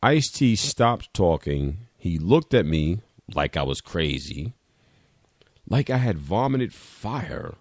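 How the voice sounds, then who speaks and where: A man with a low voice reads a first-person short story aloud. The sound is dry, with little room around the voice. He delivers it as a literary reading. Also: very animated, slow pace, no echo. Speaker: reader of a short piece at a memorial reading.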